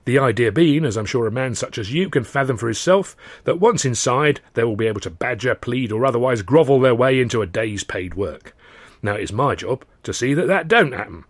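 Brief: man putting on a gruff gatekeeper at the docks, wordy and condescending, pitch bouncing around, dry close sound. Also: animated, fairly quick, dry recording, close to an everyday voice. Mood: knowing. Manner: long-winded, condescending, mock-formal. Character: gruff adult male dock gatekeeper, streetwise.

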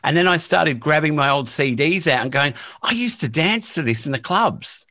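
A man hosting a podcast interview, speaking slowly and hesitantly with many fillers. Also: on the high side, animated, pushed and bright, dry recording, clean studio sound. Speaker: podcast host. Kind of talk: casual interview.

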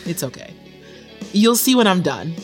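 An affectionate, teasing girlfriend in an audio drama. Her voice sits a little low for a woman but moves with animated, swinging intonation. She is self-assured and playfully bossy, with music behind her. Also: normal speed. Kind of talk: naturalistic audio drama dialogue.

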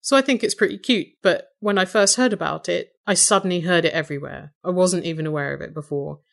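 A woman with an English accent and a low voice with some vocal fry, talking thoughtfully and wryly as a guest in a conversational interview. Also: fast. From Britain. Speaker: podcast host, interview guest.

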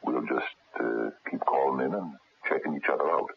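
An actor playing a seasoned, wry older private detective in a radio drama, gravelly and unhurried, speaking with small hesitations. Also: male voice, very deep voice, full character voice. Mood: easygoing, planning. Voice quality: gravelly, husky. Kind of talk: 1970s radio drama acting. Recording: dull lo-fi 1970s radio broadcast.

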